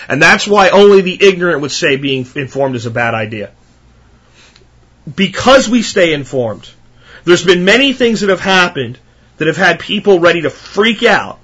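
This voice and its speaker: Thirties male podcast host, high husky voice, emphatic and ranting. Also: bright, forceful tone. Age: 30s. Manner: emphatic, ranting, repeats himself. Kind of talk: solo podcast monologue.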